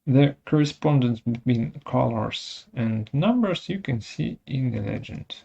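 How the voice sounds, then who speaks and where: A software webinar presenter of unclear sex talks through a demonstration very slowly, in short and halting pieces. The voice is dark and creaky, with a medium pitch. The audio is dull and lo-fi, with occasional mouse clicks. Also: expressive. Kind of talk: technical tutorial demonstration, narrated step by step.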